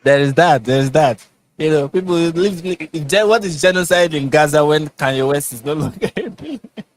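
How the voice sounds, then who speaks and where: A man with a Nigerian accent hosting a live online chat, deadpan and sarcastic, often repeating his phrases. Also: on the high side, moderate pace. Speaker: host. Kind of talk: casual live-stream chat. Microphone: online call.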